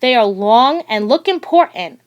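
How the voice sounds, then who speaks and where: A woman narrating a children's picture book aloud in a deliberate, expressive storytelling manner. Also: on the high side, highs cut off. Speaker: audiobook reader.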